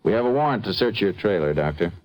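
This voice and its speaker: Man as a stern, low-voiced police detective. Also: expressive, quick pace, no room sound, natural voice, low-quality recording. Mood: businesslike. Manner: terse, matter-of-fact, pressing. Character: stern, businesslike American police detective, persistent questioner. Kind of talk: vintage radio crime drama acting.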